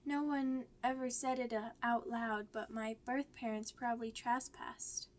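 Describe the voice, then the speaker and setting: A woman playing a shy, grieving, vulnerable young werewolf pup in an ASMR audio roleplay, with halting, stammering, childlike speech. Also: on the high side, little pitch movement, lightly acted. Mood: subdued, wistful.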